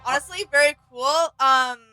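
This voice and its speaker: A woman's voice: sits high, normal speed, bright, forceful tone. A woman co-hosting casual reaction commentary, speaking in an agreeable, appreciative way. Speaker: reaction video co-host. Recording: faint music behind. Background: music.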